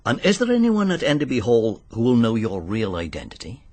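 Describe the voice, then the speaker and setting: Wry Englishman, very animated, husky. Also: mid-range voice, a little fast, no room sound. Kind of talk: radio drama acting.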